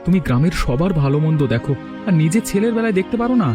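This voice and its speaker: An actor playing an earnest young student in a cartoon family story. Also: male voice, on the high side, very animated, fast, music in the background. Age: young adult. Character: earnest young student son of moneylender, supportive sibling. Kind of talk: Bengali cartoon story dialogue.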